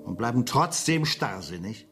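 An actor playing a pompous, smooth-talking lawyer in a German radio drama, with a wordy, fussy manner. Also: male voice, medium pitch, very animated, moderate pace. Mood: affronted. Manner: wordy, fussy, self-important. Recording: music behind the voice.

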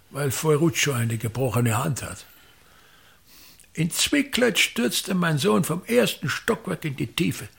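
A pompous old theatre director holds forth in a radio play, grandiloquent and forever complaining. His voice sits a touch high for a man, with a gravelly rasp and a husky, breathy edge. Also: a bit bright, heavily acted. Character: pompous aging male touring theatre director. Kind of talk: radio drama acting.

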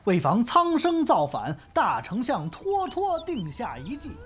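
A woman playing a sharp-tongued, teasing crosstalk partner in an audio drama, scolding and declaiming. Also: a little high, huge pitch swings, unhurried. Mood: declamatory.

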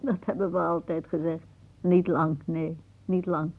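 An actress playing a weary, bitter older aunt in a radio drama, musing theatrically. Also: female voice, on the low side, level tone, a little slow, breathy edge. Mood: resigned. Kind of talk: radio drama acting, monologue-like. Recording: muffled, narrow-band old radio recording.

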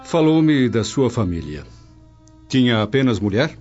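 A man's voice: mid-range voice, very animated, unhurried, a little mellow. An actor playing a brooding, apprehensive Roman nobleman in a radio drama, with formal, earnest, theatrical diction. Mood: inquisitive. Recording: dull audio over music bed.

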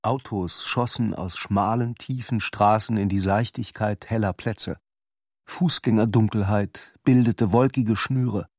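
A man's voice: fairly low pitch, a little mellow, dry recording, studio quality. A man narrating descriptive literary prose in a scripted radio production.

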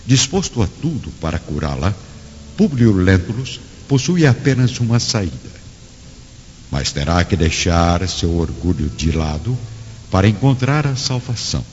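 A man's voice: deep voice, very animated, a little slow. A man narrating a radio drama from a script in a solemn, theatrical manner. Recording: dull audio with long reverb and music.

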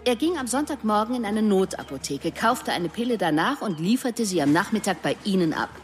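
Radio drama casting: actress for sardonic woman detective, sarcastic interrogator, animated melody in the voice, light music underneath. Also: mid-range voice, fairly quick, lightly acted. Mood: accusing, triumphant. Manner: needling, sarcastic, rhetorical questioning. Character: sardonic, menacing female police detective interrogating suspects. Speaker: police investigator.

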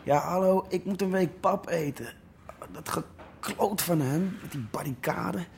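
A man's voice: high-pitched, measured pace, gravelly, slight background noise. An actor playing a bitter young man in pain, groaning and grumbling in a radio drama. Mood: bitter, defensive.

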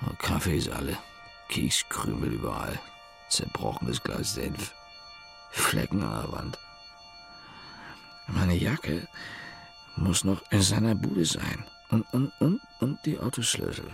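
Tiefer, bedächtiger, müder Erzähler. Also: male voice, sits low, lively intonation, takes it slowly, gravelly, pauses often, heavily acted. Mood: weary. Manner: clipped fragments, dry, sardonic. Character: weary hungover young man narrating his night. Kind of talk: radio drama monologue. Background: music.

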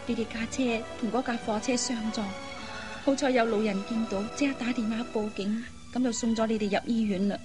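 An actress playing a caring, steady young elder sister in a radio drama, soothing and earnest. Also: female voice, fairly high pitch, a little fast, over music, close to an everyday voice. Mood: grave. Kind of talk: Cantonese radio drama acting.

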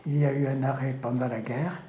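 Man, mid-range voice, halting explainer, echoey room, music bed. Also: mid-range voice, flat delivery, dark tone, slight background noise. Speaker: local witness to factory history. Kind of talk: explanatory talk. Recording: roomy recording with music underneath.

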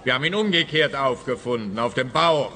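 An actor playing a self-important, stolid police officer in a radio crime comedy, pompous and literal-minded. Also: male voice, medium pitch, expressive, fairly quick, bright, forceful tone. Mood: officious.

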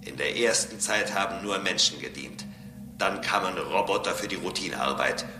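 An actor in a radio drama playing a calm, condescending robot who preaches solemnly and pedantically about serving a higher master. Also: male voice, moderate pace, close to an everyday voice. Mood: lofty, preaching. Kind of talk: German radio drama acting. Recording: roomy echo, music bed behind.

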